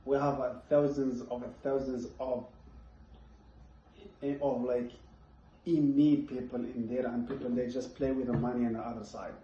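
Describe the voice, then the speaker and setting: A man's voice: mid-range voice, flat delivery, moderate pace, dark tone, slight background noise. A man from Morocco, a guest in a casual apartment conversation, speaking bluntly and swearing freely. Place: apartment room. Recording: distant, echoey, dull room recording.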